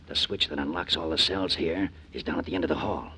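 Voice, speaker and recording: Low-voiced, hard, bossy gangster convict. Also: male voice, a little fast, sharp tone, breathy edge, full character voice. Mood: conspiratorial. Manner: terse gangster slang, bossy. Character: hard, suspicious convict leader plotting a prison break. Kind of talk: 1940s radio crime drama acting. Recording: noisy 1940s radio recording.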